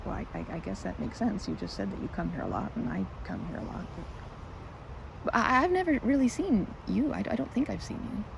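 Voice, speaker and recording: A woman's voice: mid-range voice, very animated, brisk, gravelly, noisy background. A woman playing a timid, socially anxious young woman in an ASMR roleplay, stammering and rambling nervously as she corrects herself. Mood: flustered, bashful. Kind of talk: ASMR roleplay, intimate one-sided romantic dialogue.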